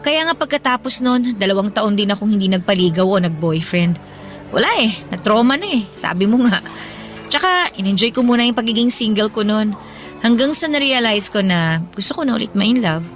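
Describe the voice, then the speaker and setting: An actress playing a feisty, bubbly young office worker on a date in a Filipino audio drama, speaking expressively with a Filipino accent. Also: female voice, medium pitch, a little fast, soft background music, lightly acted. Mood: wry, confiding. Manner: playful, sassy, expressive. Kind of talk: Tagalog audio drama dialogue.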